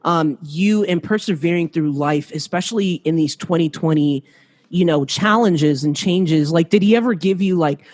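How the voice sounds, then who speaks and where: A woman's voice: fairly low pitch, moderate pace, darkish tone. An American woman hosting a podcast in a casual, informal interview style. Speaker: podcast host.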